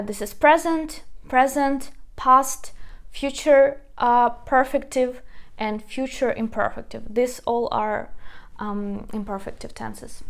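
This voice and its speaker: A young woman with a Ukrainian accent teaching a video language lesson, explaining patiently with fillers and slowing down for key words, recorded on a lapel mic in a small room. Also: a little high. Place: small indoor room by a whiteboard.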